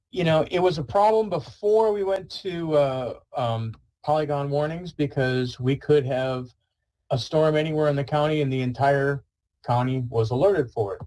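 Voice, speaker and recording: Guy doing a webinar talk, medium pitch, explains stuff in a chatty informal way. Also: a little mellow, poor audio. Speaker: weather service presenter.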